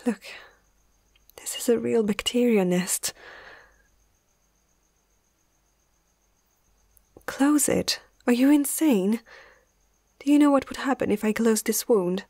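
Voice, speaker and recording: A woman's voice: on the high side, measured pace, darkish tone, no room sound. A woman playing a nervous but caring young vet in an ASMR roleplay, hesitant and often half-whispering close to the mic. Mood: concerned, firm. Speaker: amateur ASMR roleplay performer.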